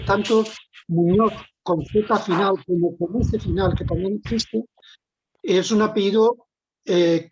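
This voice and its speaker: High husky-voiced man giving explanations. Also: darkish tone. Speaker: lecturer. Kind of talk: answering audience questions. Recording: dull lo-fi online call.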